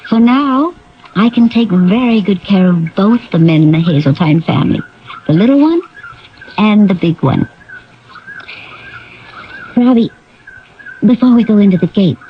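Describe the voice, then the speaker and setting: A woman's voice: medium pitch, close to an everyday voice. An actress playing a guarded, devoted young woman in a 1970s radio drama. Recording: dull vintage radio recording.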